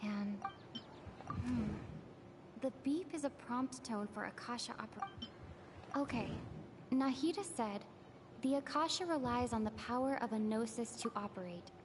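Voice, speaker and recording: A woman's voice: fairly high pitch, unhurried, long pauses. A woman game streamer reading game text aloud and hesitantly puzzling over it. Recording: clearly noisy stream audio.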